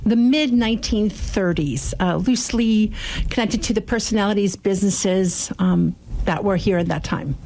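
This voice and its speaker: A woman explaining things as a radio interview guest, articulate and promotional, with measured phrasing. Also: mid-range voice, dark tone, slight background noise, low-quality recording. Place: radio studio. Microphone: studio microphone.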